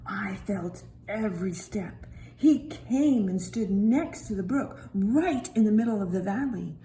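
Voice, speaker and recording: A woman voicing a childlike, tender-hearted talking stone that tells a children's Bible story with dramatic, hushed and emphatic delivery. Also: mid-range voice, animated, measured pace, close to an everyday voice, poor audio. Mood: awed.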